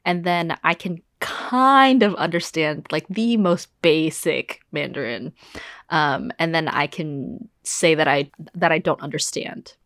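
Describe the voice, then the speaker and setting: A woman's voice: sits low, slow, a bit bright, no room sound. A young woman from Hong Kong co-hosting a casual podcast, joking in a dry way. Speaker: podcast co-host. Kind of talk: casual chat.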